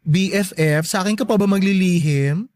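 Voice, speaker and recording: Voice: mid-range voice, moderate pace, darkish tone, no echo, close to an everyday voice. An actor playing a playful, teasing, flamboyant best friend in a radio drama, with sassy Filipino delivery and theatrical exclamations. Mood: prying, teasing.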